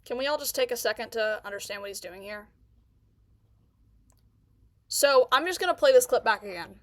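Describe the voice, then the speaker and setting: Woman streamer w a stutter, kinda high voice, dry sound, keeps repeating herself when annoyed. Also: lively intonation, moderate pace, no room sound. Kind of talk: reaction commentary.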